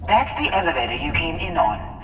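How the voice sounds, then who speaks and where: A woman's voice: deep voice, very animated, a little fast, reverberant, in a large hall, distant mic, a little hiss or hum, rough recording. An actress playing a composed, resourceful woman in a video game, giving clipped instructions to allies over a radio link. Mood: focused, instructive. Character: composed, resourceful woman guiding allies through enemy offices.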